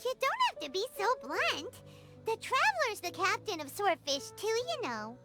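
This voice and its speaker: A woman voicing a tiny, childlike, excitable fairy companion in a video game, squeaky, earnest and naive in manner. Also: moderate pace, heavily acted. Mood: puzzled, pouting. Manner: exclamatory, naive, speaks of herself in third person. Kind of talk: English video game voice acting. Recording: studio voice under prominent music.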